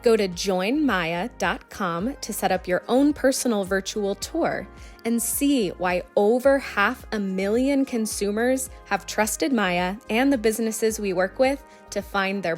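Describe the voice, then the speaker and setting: A woman's voice: mid-range voice, animated, a little slow, soft background music. A woman reading a scripted advertisement in an upbeat, persuasive way. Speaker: ad voice-over. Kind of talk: scripted ad read.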